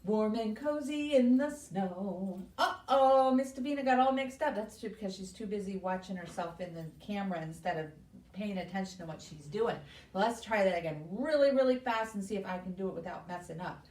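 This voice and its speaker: A woman's voice: medium pitch, fairly quick, a little mellow. A woman hosting a children's story time, giving simple, encouraging instructions and questions to young children. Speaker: library story time host. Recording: roomy echo, distant microphone.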